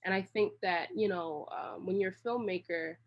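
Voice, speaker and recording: A woman chats as a guest in a casual interview. She has a slightly husky voice at a middling pitch. Her talk rambles and is full of slang, circling at length before landing. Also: fairly even intonation, moderate pace, dull audio. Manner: long-winded, rambling, slangy. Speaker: interview guest, creative director.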